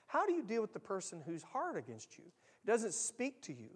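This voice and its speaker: An American man preaching a sermon to his church, earnest and repetitive, swelling loud on key lines. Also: high voice, huge pitch swings, normal speed, husky, big-room sound. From United States. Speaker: pastor. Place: church sanctuary.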